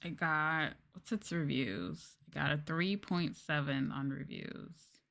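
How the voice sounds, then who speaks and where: A woman streamer chatting casually in a soft-spoken ASMR style, rambling and swearing as she goes. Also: deep voice, slow, gravelly. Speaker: VTuber streamer.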